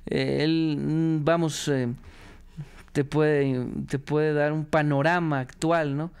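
A man's voice: a little high, flat delivery, slow pace, a little mellow, clean tone. A man with a Mexican accent talking casually and reflectively in an interview. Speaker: radio performer.

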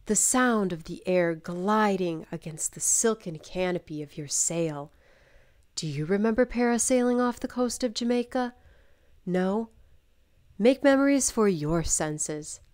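An American woman voice-over artist reading a scripted travel commercial in an evocative, softly breathy storytelling delivery. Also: medium pitch.

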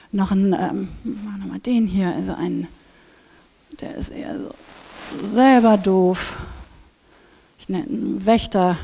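Animated woman lecturing in a big reverberant hall. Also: mid-range voice. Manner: animated, acts out inner voices. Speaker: speaker at a conference. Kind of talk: lively conference talk with acted inner voices. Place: large brick hall with seated audience. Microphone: headset mic.

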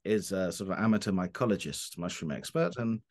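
A man, a philosopher answering interview questions over a video call from his book-lined study, halting with many fillers and restarts. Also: deep voice, animated. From Cornwall, England. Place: home study lined with books. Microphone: video call webcam.

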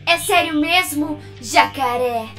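A woman's voice: high voice, slow pace, over music. A woman voicing a self-assured, sassy female wolf animatronic in a cartoon fan dub, confident and teasing. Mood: sassy, skeptical.

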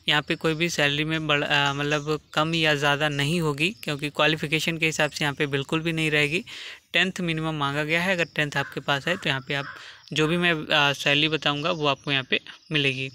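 A man talks through a job listing as voice-over for a screen recording. His voice is very bright and clean. He explains things plainly and drops in the occasional filler. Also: fairly high pitch, smooth. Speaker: job vacancy channel host.

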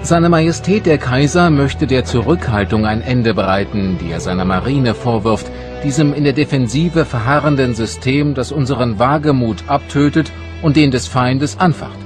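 An actor playing a stern naval minister, formally reading out orders in a measured way for a documentary drama. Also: male voice, medium pitch, lightly acted. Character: official naval minister relaying his emperor's stern orders. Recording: loud background music under the voice. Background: music.

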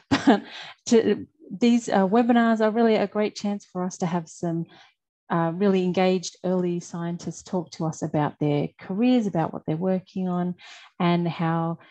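Low mellow woman moderating a video webinar. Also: normal speed. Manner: chatty, informal, with fillers and light laughs. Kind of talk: welcoming and introducing a webinar. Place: indoor room, on a video call. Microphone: headset. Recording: dull, lo-fi video call audio.

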